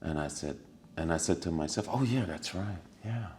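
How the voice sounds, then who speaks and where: A man with a very low, deep voice shares a personal story as a podcast guest. He talks casually and acts scenes out with little sound effects and bursts of laughter. When he repeats what was said, he sinks into a hushed, quiet tone. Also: animated, moderate pace, slight background noise. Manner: drops to a hushed, quiet voice for remembered lines.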